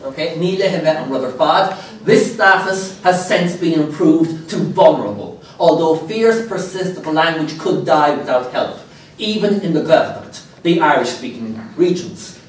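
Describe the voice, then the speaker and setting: Male keynote speaker, 30s, somewhat high voice, animated lecturing with wry asides, echoey hall, mic a little distant. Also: normal speed. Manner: animated, reads quotations aloud with dry asides. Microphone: lapel mic.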